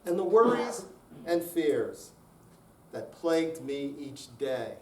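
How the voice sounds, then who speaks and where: An older man reads verse slowly, his fairly high voice moving through lively rises and falls. He is recorded from afar in an echoey room. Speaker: readers theater performer. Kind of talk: slow dramatic reading in live performance. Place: senior center room, mic at a distance. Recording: echoey room, distant, faint noise.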